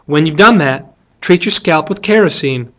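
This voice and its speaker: A man's voice: on the high side, animated, normal speed, natural voice. A man voicing a prim, squeamish woman schoolteacher in a storybook, with a proper, anxious manner. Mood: prim, polite.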